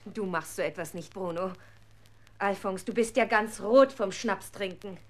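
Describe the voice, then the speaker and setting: A woman's voice: medium pitch, a little slow, lightly acted. A woman playing a stern, indignant mother who scolds and accuses in a children's radio play. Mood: stern, interrogating.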